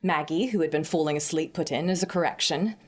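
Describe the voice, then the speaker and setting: A woman's voice: sits low, quick pace. A woman narrator giving a scripted reading of literary fiction.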